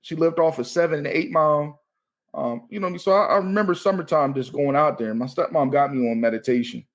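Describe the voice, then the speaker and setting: A man's voice: fairly high pitch, fast talker. A man in his thirties from Maryland, a comedian guest talking casually and loosely, often saying "you know what I mean.". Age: 30s. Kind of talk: casual podcast chat.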